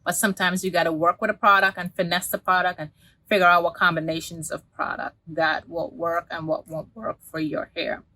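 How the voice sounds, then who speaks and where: A woman's voice: fairly low pitch, level tone, a little fast, pushed and bright, no echo. A woman, talking casually to camera as a beauty vlogger, rambling with many restarts and fillers. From Guyana.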